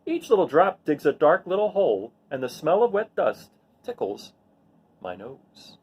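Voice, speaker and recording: Animated, higher-pitched man reading to children. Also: unhurried, slightly rough. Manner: expressive, plays up sound words, simple child-directed phrasing. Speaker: read-aloud host for children. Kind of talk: animated storybook read-aloud with casual asides to young listeners.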